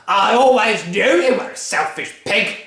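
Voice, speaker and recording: A reader voicing an enormous, greedy old grandmother who shouts and bosses everyone, in an echoey room. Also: mid-range voice, expressive, measured pace, pitched above the performer's own voice. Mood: shouting. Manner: shouting, bossy.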